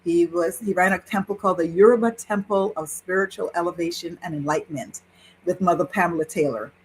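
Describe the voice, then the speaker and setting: A woman in her sixties with a somewhat low voice tells her life story as an interview guest. She talks in a lively, warm-hearted way and often breaks into laughter. A faint hiss of noise sits behind her. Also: a little fast, low-quality recording. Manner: animated, laughs while telling a story. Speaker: guest, Yoruba priestess. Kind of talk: personal storytelling in conversation.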